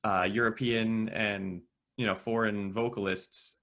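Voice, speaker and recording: A man's voice: on the low side, fairly even intonation, a little slow, creaky, fairly bright tone. A man interviewing a guest, asking friendly questions with frequent restarts. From United States. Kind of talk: casual interview.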